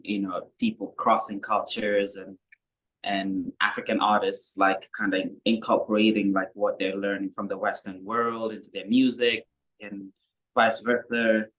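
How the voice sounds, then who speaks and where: A young man from Kenya, a musician guest, talking in a relaxed, rambling way with many fillers. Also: lowish voice, low-quality recording. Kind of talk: casual interview chat.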